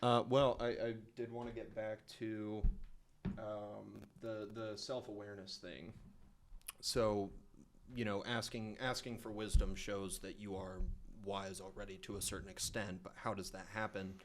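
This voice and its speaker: A man's voice: lowish voice, little pitch movement, unhurried, a little hiss or hum. A man co-hosting a casual podcast conversation, thinking aloud with frequent restarts and hedges. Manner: thoughtful, hesitant, with frequent restarts. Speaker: podcast co-host.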